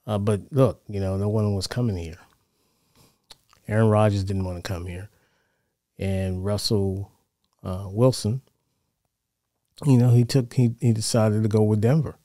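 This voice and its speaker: Low, mellow American man talking sports. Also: fairly even intonation, measured pace, long pauses, dry recording, clean studio sound. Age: middle-aged. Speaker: sports show host. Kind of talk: casual sports commentary. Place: small home studio, indoors. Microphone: close broadcast mic on boom arm.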